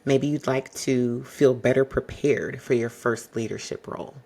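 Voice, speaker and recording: A man hosting an advice podcast, speaking to the listener in a polished, exacting and fairly monotone way. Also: mid-range voice, gravelly. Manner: measured, exacting, addresses listener directly. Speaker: podcast host.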